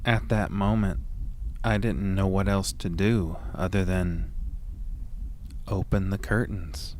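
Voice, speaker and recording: A man playing an anxious, haunted narrator in a first-person horror audio drama, deliberate and suspenseful. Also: low-pitched, fairly even intonation, darkish tone, a little hiss or hum. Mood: tense dread.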